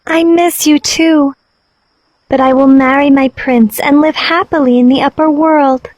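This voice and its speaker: A woman voicing a young mermaid princess, earnest, wistful and gentle, in a children's storybook tale. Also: high-pitched, steady tone, measured pace, no echo. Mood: wistful hope. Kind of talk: children's storybook character acting.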